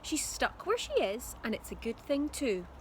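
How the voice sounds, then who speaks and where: A woman voicing an excitable, blustering father with grand schemes in a children's storybook, speaking emphatically. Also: sits high, moderate pace, a little hiss or hum, voice pushed up, low-quality recording. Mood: dismissive grumbling. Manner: emphatic, insistent.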